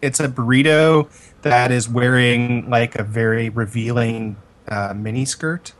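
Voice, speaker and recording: Male podcast co-host, middle-pitched, informal, goofy mock-serious delivery. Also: fairly even intonation, unhurried. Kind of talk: casual chat.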